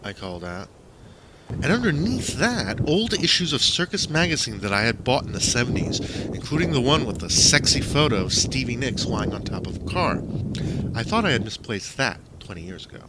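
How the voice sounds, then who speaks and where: A man's voice: medium pitch, very animated, normal speed, very noisy. A man reading scripted, surreal first-person stories as an audiobook narrator, on a home recording.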